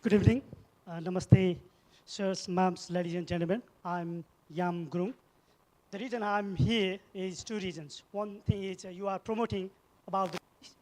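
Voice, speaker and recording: Middle-aged male speaker, Nepali accent, high voice, emphatic halting public storytelling. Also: steady tone, darkish tone. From eastern Nepal. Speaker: event speaker, former soldier. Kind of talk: personal story told as a public speech.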